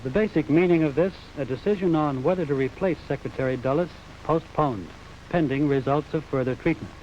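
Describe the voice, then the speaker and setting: A man's voice: a little high, fairly quick, darkish tone. A man with an American accent delivering a scripted news report as a correspondent, formal and declarative. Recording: dull 1950s broadcast, faint noise.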